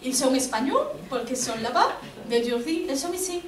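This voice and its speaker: Woman, guest lecturer, hesitant, fillers, slightly high pitch, distant mic, echoey auditorium, faint background noise. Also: very animated, a little fast. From Spain. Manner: hesitant, many fillers, frequent tag "non?". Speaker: guest speaker, professor. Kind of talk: lecture Q&A answers.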